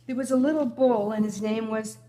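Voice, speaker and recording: A woman's voice: on the high side, fairly quick, mellow, low-quality recording. An older woman reading a children's picture book aloud as a guest storyteller.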